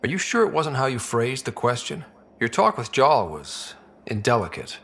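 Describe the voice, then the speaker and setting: An actor playing a wry, steady young expedition leader in a video game, with a husky voice. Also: male voice, medium pitch, moderate pace, exaggerated voice. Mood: wry, friendly. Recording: game dialogue over faint music.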